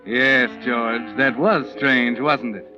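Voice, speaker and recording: Actor as ominous 1940s radio mystery narrator. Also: male voice, medium pitch, slow pace, lightly acted. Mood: ominous, taunting. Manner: ominous, addressing the character as "you". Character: ominous all-knowing male mystery narrator, taunting. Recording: dull old 1940s radio recording, organ music. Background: dramatic music.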